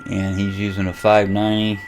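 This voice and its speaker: An American man giving a casual, unscripted software walkthrough as a hobby vlogger, halting as he thinks aloud. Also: on the low side, completely flat delivery, soft background music. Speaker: amateur radio vlogger demonstrating software. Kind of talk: casual unscripted screen tutorial.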